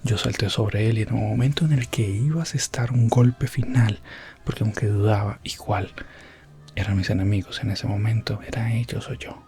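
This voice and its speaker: A man playing an elf prince adventurer, telling a fantasy ASMR tale in a soft, breathy, often whispered voice with solemn pauses. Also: on the low side, fairly even intonation, faint music behind, lightly acted. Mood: tense, hushed. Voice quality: breathy, hushed. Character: elf prince adventurer recounting his rescue quest, gentle and solemn. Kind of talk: ASMR fantasy roleplay storytelling.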